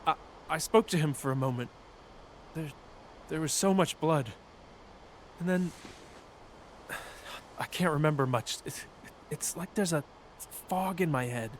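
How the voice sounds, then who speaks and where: An actor playing a shaken, dazed male attack survivor in a video game, speaking in a halting, anxious way. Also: on the high side, slight background noise, natural voice. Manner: halting, anxious, breaking off mid-thought.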